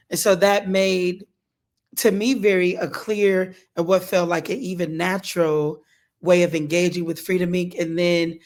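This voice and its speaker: A community organizer giving emphatic, impassioned remarks on a panel, often dropping quiet at the end of phrases. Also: medium pitch. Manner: emphatic, preacherly, drops to quiet at phrase ends.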